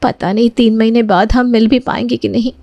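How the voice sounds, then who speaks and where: A woman's voice: a little high, steady tone, a little fast, creaky, mellow, no echo, muffled recording. A woman voicing a pleading, lovelorn young woman in her twenties in a cartoon family story. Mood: troubled. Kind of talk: cartoon moral-story dialogue.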